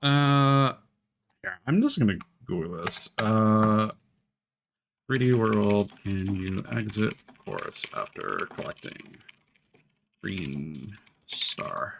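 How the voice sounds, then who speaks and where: A man's voice: fairly low pitch, big pitch swings, slow, creaky, pauses often, a little hiss or hum. A man streaming a video game, thinking aloud in casual, rambling commentary while he plays. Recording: game music behind the voice. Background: video game music.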